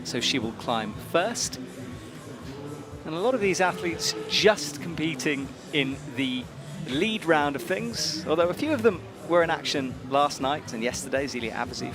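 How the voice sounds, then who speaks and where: A man's voice: medium pitch, lively intonation, moderate pace, crowd noise. A man presenting live sports commentary in an emphatic, conversational way. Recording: music behind the voice. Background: music.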